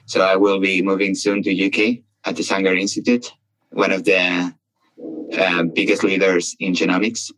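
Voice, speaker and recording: Very deep-voiced man, eager and halting. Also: little pitch movement, a bit bright, low-quality recording. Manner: eager, repeats words, halting. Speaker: researcher, podcast guest. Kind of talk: casual chat. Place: remote, not in the studio.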